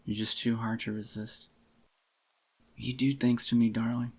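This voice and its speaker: A man doing a soft-spoken, intimate ASMR roleplay as an adoring, possessive boyfriend, in a slightly groggy, unhurried murmur. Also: mid-range voice, level tone, breathy edge. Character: adoring possessive young boyfriend, tender and clingy. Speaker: ASMR voice actor.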